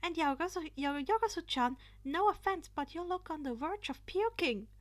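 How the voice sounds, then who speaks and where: A woman's voice: very high-pitched, animated, moderate pace, pitched above the performer's own voice. A woman voicing a sly, cheeky, confident teenage boy in a story reading. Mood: breezy, matter-of-fact.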